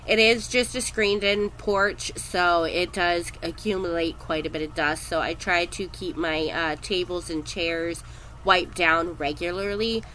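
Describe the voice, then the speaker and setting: A woman's voice: on the low side, measured pace, a little hiss or hum, low-quality recording. A woman in her thirties with a head cold and a stuffed-up voice, chatting casually in a voice-over about cleaning her home. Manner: chatty, relaxed, with frequent ums. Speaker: cleaning vlogger.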